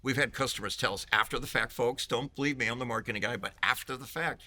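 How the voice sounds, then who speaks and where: Bright-voiced salesy man, joking. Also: mid-range voice, fast. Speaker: vendor marketing executive. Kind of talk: conference booth interview.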